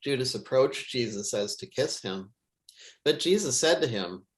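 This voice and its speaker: A man's voice: medium pitch, lively intonation, unhurried. Man solemnly reading scripture aloud. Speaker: scripture reader, pastor.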